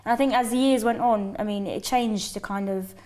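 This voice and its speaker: A woman's voice: mid-range voice, fairly quick, a little mellow. A young woman from Bangladesh, a guest in a TV studio, speaking English as her second language and telling her story earnestly, with lots of "you know" and "um". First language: not English. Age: young adult. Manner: earnest, many fillers and you knows. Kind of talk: personal story in a studio interview.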